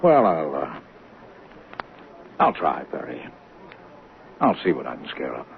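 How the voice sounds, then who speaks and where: A very deep, gravelly showbiz boss, condescending and cynical, in a seventies radio play. His delivery swoops theatrically and drags out its teasing. It comes through a narrow old broadcast. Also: huge pitch swings, very noisy, exaggerated voice. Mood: wary. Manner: theatrical, patronizing, drawn-out teasing. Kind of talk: 1970s radio drama acting.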